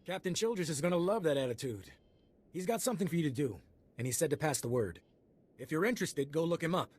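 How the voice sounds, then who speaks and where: An actor playing a casual, irreverent soldier in a video game, offhand and breezy. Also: male voice, on the high side, expressive, fast, darkish tone, slightly rough. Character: casual, irreverent male soldier passing on orders. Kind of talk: video game dialogue acting.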